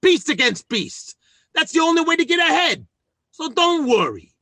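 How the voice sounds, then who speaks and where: An actor playing a blustering, scheming working-class husband who stammers and boasts, in old-time radio sitcom style. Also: male voice, very high-pitched, sing-song, normal speed, pushed and bright, dry recording, clean studio sound, heavily acted. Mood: scheming, smug.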